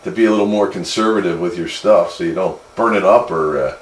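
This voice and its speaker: An American man in easygoing, slightly halting casual conversation as a CB radio operator. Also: sits low. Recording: roomy, noisy CB radio audio.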